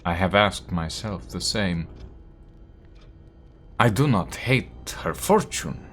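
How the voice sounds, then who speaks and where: A man voicing a guarded, poetic young foreign woman in a text adventure game, with a measured, lilting delivery. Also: sits low, lively intonation, fairly bright tone, soft background music, exaggerated voice. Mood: musing.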